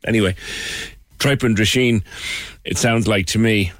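A man's voice: fairly low pitch, a little slow, no echo, studio quality. A man hosting a radio show, chatting and joking with his guests in a casual interview.

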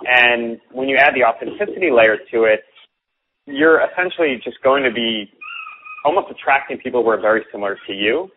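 A man's voice: mid-range voice, a little fast, slight background noise. A man guest who coaches on copywriting, explaining earnestly and often repeating words as he starts sentences. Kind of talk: casual interview chat. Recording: tinny phone-like line.

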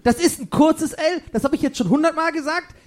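A man's voice: very high voice, fairly quick. A man playing a touchy, exasperated boy detective in a comedy radio play, whiny and pedantic. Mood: whiny, fed up.

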